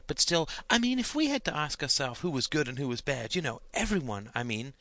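A man's voice: fairly high pitch, sing-song, fairly quick, bright, forceful tone, dry recording, pitched above the performer's own voice, exaggerated voice. A man voicing a gossipy, giggly teenage girl chatting in slangy audiobook dialogue. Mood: earnest, confiding. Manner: chatty, slangy, girlish delivery.

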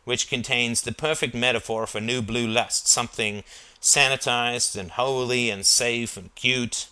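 A man voicing a brooding, sardonic young boy band singer who talks in halting, musing phrases as in an audiobook. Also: medium pitch, fairly even intonation, bright, forceful tone, smooth, poor audio. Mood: earnest. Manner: halting, muttering, thinking aloud.

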